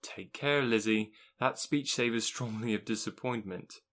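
A man voicing a kindly, sensible middle-aged aunt who speaks in a measured, gently reproving way in a storybook reading. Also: fairly low pitch.

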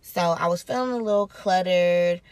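A woman's voice: on the low side, normal speed, a little mellow, dry recording. A woman hosting her own podcast in a casual, upbeat, reflective way. She repeats phrases for emphasis. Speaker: podcast host. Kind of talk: casual solo podcast talk.

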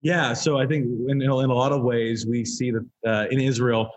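A man's voice: medium pitch, steady tone, moderate pace. A young man with a Canadian accent, a ministry leader explaining his work earnestly in a casual interview. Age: young adult. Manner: earnest, explanatory, with frequent fillers.

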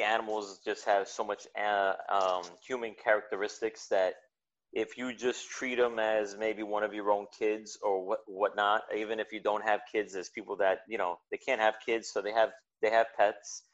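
An earnest man talks at length about his work with dogs, padding his talk with fillers. His voice sits low, and the recording sounds muffled and lo-fi. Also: level tone, normal speed. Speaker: podcast guest, dog business owner. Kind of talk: casual interview chat. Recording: dull lo-fi sound.

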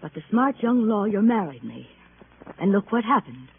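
A woman's voice: mid-range voice, big pitch swings, moderate pace, vocal fry. A woman playing a bored, sardonic, world-weary wealthy wife in a 1950s radio drama. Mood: bitter confiding. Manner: arch, world-weary, theatrical. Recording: tinny, noisy vintage radio recording.

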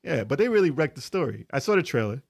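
A man's voice: on the high side, sing-song, quick pace, breathy edge, dry recording, studio quality. A man co-hosting a casual video game podcast, talking emphatically and repeating his points. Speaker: podcast co-host.

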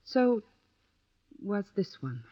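A woman's voice: medium pitch, sing-song, drawn-out words, exaggerated voice. An American actress playing an anxious, insistent young woman writer in an old-time radio drama. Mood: uneasy, polite. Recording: dull lo-fi vintage radio recording.

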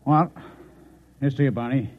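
An actor playing a composed, secretive Martian posing as a newspaperman in a 1950s radio drama, speaking in a measured, condescending way. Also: mid-range voice, lightly acted. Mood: shaken, wry. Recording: muffled, narrow-band old radio recording.

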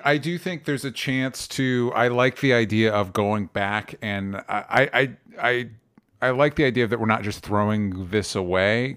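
A young adult man chatting on a podcast in a middle-pitched voice. He talks casually and rambles, trips over restarts, and drops in emphatic swears. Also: fairly bright tone. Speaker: podcast co-host. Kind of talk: casual pop-culture chat.

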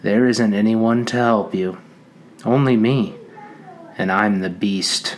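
A man voicing a menacing talking pig's head that mocks, coaxes and threatens, at a slow, measured pace. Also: fairly low pitch, steady tone, a little hiss or hum. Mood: taunting. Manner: mocking, coaxing then threatening.

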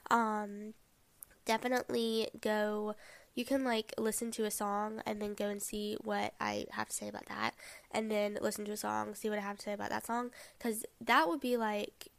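A woman's voice: a little high, creaky, no echo. A young woman hosting her podcast in a chatty way, filling her talk with "like" and "um", a little sick. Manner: chatty, many "like" and "um" fillers. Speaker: podcast host. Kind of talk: casual chat, album review.

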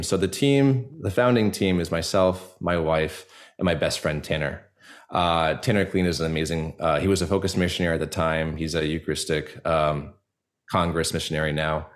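A man speaking reflectively as a podcast guest, earnest and halting, with a self-deprecating way of talking. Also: sits low. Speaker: podcast guest, audio drama creator. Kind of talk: reflective interview conversation.

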